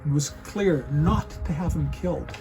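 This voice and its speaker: Man acting a higher-pitched, stern Roman soldier. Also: moderate pace, music in the background, lightly acted. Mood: irritated, scolding. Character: stern, loyal Roman soldier, impatient with his comrade. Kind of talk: dramatic reading of a church passion play.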